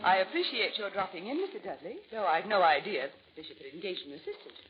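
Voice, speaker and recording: Woman playing grand society hostess, quick and swooping. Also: fairly low pitch, expressive, music in the background, close to an everyday voice. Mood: gracious, proud. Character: proud, gracious wealthy society hostess. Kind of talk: 1940s radio acting. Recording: muffled 1940s radio, audience noise.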